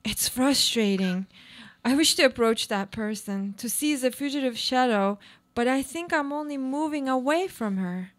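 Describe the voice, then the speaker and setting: An actress playing a brooding, cynical, uneasy married woman in a literary radio play, speaking in a deliberate, poetic way. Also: high-pitched, animated. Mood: searching, frustrated.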